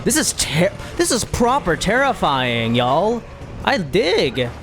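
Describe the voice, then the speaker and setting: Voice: medium pitch, sing-song, slow pace, noisy background. A game streamer giving casual live reactions to a playthrough, exclaiming theatrically and using folksy slang. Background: game soundtrack and sound effects.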